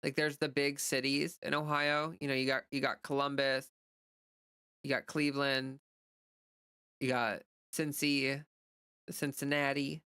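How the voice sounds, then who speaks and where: A woman's voice: a bass voice, little pitch movement, unhurried, long pauses, fairly bright tone, clear, resonant tone, dry recording, studio quality. An American woman livestreamer chatting casually and off the cuff, rambling informally as she answers viewer comments. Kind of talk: casual unscripted chat.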